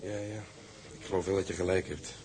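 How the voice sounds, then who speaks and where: An actor playing a seasoned, resigned sailor talking frankly and reflectively over drinks in a Dutch radio drama. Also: male voice, low-pitched, fairly even intonation, fairly quick, a little mellow, lightly acted, rough recording.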